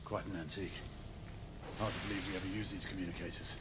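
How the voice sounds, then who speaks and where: An actor playing a composed, wry gentleman knight in a video game, formal and understated. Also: deep voice, fairly even intonation, fast, very noisy, close to an everyday voice. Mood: dryly musing. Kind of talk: video game cutscene acting.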